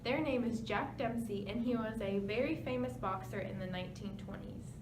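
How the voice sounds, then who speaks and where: Casting: female news interviewer, fairly high voice, scripted questions, distant and noisy recording. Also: moderate pace. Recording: noisy, echoey room, distant mic.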